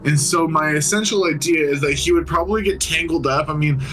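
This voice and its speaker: A man's voice: fairly high pitch, a little fast, fairly bright tone, faint music behind, low-quality recording. A man playing a tabletop game, talking casually and loosely as he improvises, with some swearing.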